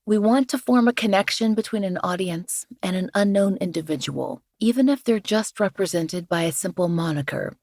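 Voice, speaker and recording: A woman hosting a true-crime podcast in measured, earnest scripted narration, her voice a little scratchy from the flu. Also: fairly low pitch, vocal fry, a little mellow, no echo, studio quality. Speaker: podcast host.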